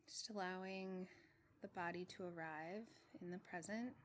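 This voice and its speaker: A woman meditation teacher leading a guided meditation in a gentle, measured way, with long pauses between short phrases. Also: lowish voice. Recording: clearly noisy with room ambience.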